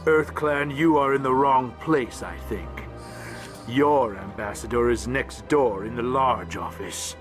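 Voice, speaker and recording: A man's voice: mid-range voice, unhurried, music in the background, lightly acted. A man voicing a small, grumpy, aggrieved alien diplomat in a video game, curt and world-weary. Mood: curt, irritated. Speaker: ambassador. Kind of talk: video game character dialogue.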